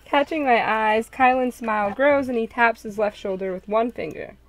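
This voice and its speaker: A woman's voice: a little high, moderate pace. A woman hosting a group read-along, switching between casual joking chat and story narration, often poking fun at herself.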